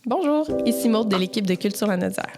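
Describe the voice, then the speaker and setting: A woman's voice: medium pitch, animated, a little fast, over loud music. A woman with a Quebec French accent, hosting a podcast in a casual, conversational interview style.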